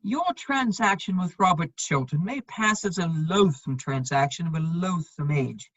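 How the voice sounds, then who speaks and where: Aloof society gentleman, female performer, husky and highly inflected, dry cutting wit, measured phrasing, for a period comedy reading. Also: lively intonation, dry recording, muffled recording. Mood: indignant. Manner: dry, measured, epigrammatic delivery. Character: witty, aloof upper-class gentleman, principled and cutting.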